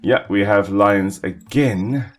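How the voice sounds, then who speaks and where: A man, an Afrikaans speaker, calmly explaining ecology while playing a simulation game, with small restarts. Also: lowish voice, slow pace, soft background music. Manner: explanatory, calm, with small self-corrections. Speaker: ecologist gaming host. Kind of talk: educational let's-play commentary.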